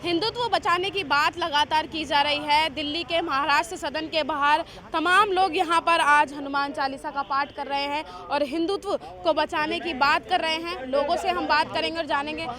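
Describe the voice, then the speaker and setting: A woman's voice: high voice, fairly even intonation, moderate pace, hardly any pauses, pushed and bright. A woman field reporter improvising live news reports and street interviews outdoors, with repetitive, filler-laden phrasing. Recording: busy outdoor street with crowd and traffic.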